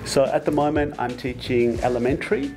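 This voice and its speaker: A man in his fifties, a teacher, talking in a relaxed interview on a lapel mic. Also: mid-range voice, normal speed, faint music behind. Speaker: English teacher. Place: classroom.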